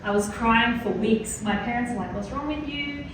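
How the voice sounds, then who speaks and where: A woman's voice: on the high side, normal speed, far from the mic, background noise. A woman with an Australian accent giving a chatty, enthusiastic keynote to a small audience, with plenty of fillers. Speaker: keynote speaker. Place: lecture hall. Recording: very echoey hall, heard from afar.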